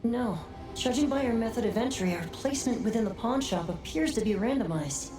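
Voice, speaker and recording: A woman's voice: on the high side, expressive, fairly quick, lightly acted. A woman playing an analytical, matter-of-fact teammate in an anime-style video game, speaking precisely and formally. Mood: businesslike. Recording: echoey, distant, music behind.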